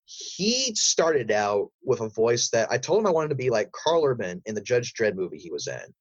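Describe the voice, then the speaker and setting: A man chatting casually as an interview guest, telling rambling, animated stories. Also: mid-range voice, moderate pace, dry recording. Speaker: voice actor, audio drama creator being interviewed. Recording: dry, slightly muffled close recording.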